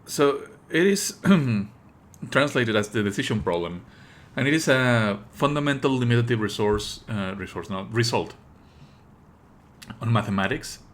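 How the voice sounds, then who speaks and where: A man streaming live commentary, explaining in an opinionated, pedantic way with halting delivery and emphatic stresses. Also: medium pitch, lively intonation. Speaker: streamer and host.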